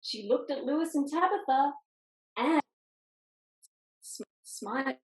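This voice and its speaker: A woman reading a picture book aloud to children over a video call, expressively, with dramatic pauses and little asides. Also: high voice. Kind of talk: animated children's storytime reading with quiet asides. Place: indoors, online video call in an echoey room. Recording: roomy echo on video call.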